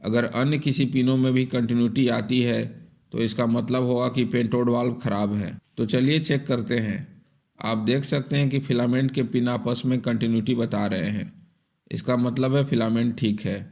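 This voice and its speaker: A man's voice: mid-range voice, steady tone, moderate pace, darkish tone. A man giving step-by-step instructional narration for an electronics repair tutorial in a methodical, explanatory manner.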